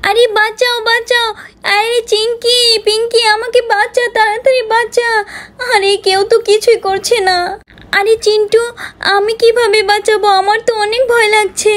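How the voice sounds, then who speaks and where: A woman's voice: very high voice, level tone, normal speed. A woman voicing a frightened young child doll in a children's story, urgent, exclamatory and pleading. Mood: panicked, pleading. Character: frightened young village child doll screaming for rescue from a mummy.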